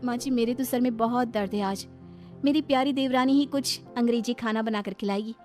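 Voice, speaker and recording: A woman's voice: on the high side, brisk, a little mellow, soft background music, voice pushed up, natural voice. A woman voicing a sweet, naive young village daughter-in-law in a cartoon story, speaking politely and earnestly. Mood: slyly sweet.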